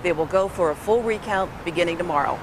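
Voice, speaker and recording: A woman's voice: lowish voice, quick pace. A woman working as a television news reporter, explaining events step by step in a live report. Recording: faint ambient background noise.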